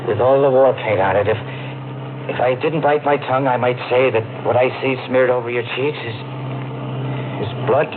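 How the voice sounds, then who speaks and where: A man plays a bewitched long-haul driver in a 1950s radio play, brooding and wondering, with a blunt edge. His mid-pitched voice comes through a narrow, muffled recording with loud noise. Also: fairly even intonation, normal speed, natural voice. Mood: uneasy, blunt. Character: bewitched Irish-named truck driver, haunted, blunt and wondering. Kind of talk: 1950s radio drama acting.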